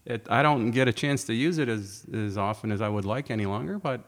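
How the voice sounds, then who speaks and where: Casting: middle-aged or older man, low register, relaxed conversational guest, reverberant room recording. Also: a little fast. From born in Czechoslovakia, moved to America at six. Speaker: podcast guest. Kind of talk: casual chat. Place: club podcast studio with car theme.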